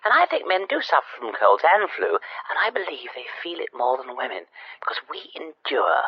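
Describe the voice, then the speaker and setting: An actress playing a dreamy, earnest wife phoning a spoof radio show, halting and dramatic, dropping into breathy hushes. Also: female voice, rumbling low, sing-song, no room sound, heavily acted. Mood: earnest, wistful. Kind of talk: spoof radio phone-in comedy. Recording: dull lo-fi phone-in line.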